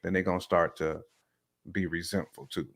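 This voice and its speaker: A man with a distinctly low voice talks casually as a talk show guest. His phrasing is colloquial and slangy, and he speaks in an opinionated, conversational way. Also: level tone, normal speed, creaky, a bit bright.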